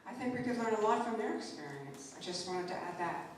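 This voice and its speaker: A woman's voice: mid-range voice, animated, brisk. A woman resident making a hesitant public comment at a town meeting, speaking with fillers and partly away from the mic. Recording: very echoey hall, distant, audible noise.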